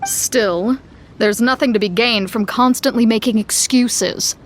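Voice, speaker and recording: Woman as young duelist, anime game, composed, polite, earnest, slightly high voice. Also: measured pace, slight background noise, close to an everyday voice. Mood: earnest, frank. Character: composed, courteous, frank, determined young duelist.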